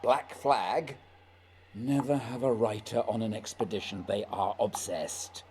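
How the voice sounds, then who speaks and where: An actor as a mellow, echoing, burdened polar explorer. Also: male voice, lowish voice, steady tone, a little slow, natural voice. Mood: commanding. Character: brooding, burdened male polar expedition leader. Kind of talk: dramatic radio play acting. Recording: echoey reverberant room, faint noise.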